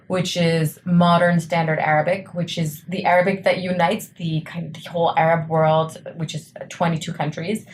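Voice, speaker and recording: Low-voiced young woman on a podcast, earnest, lots of uhs and ums, faint hiss in the background. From New York, USA. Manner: earnest, explanatory, frequent "uh" and "um" fillers. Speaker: startup co-founder, podcast guest. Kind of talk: interview answers.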